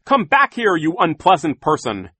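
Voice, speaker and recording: A man's voice: mid-range voice, lively intonation, moderate pace, a bit bright, husky, no echo. A man voicing a blustering, pompous middle-aged banker guardian in an audiobook, indignant, officious and exclaiming. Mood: indignant shouting. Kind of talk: audiobook character voice.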